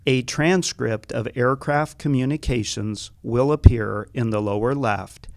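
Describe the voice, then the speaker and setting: Measured male narrator, slightly gravelly. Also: medium pitch, dry recording. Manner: measured, formal, factual. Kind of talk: scripted explanatory narration.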